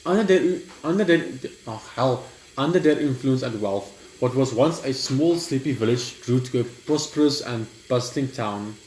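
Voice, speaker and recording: Young man, halting, in an echoey room. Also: mid-range voice, lively intonation, a little mellow. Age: young adult. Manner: halting, stumbles when reading aloud. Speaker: gaming video host. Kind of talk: casual gameplay commentary and reading aloud. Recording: roomy echo, clear background noise.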